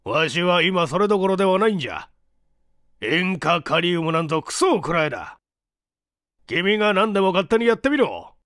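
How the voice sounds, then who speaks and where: Grumpy old professor in an audiobook, bossy barking, pitched way up, dry sound. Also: male voice, very animated, moderate pace, fairly bright tone, dry recording. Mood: irritated, barking. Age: older. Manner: barking, old-fashioned elderly speech. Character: irascible old male scientist, gruff and bossy.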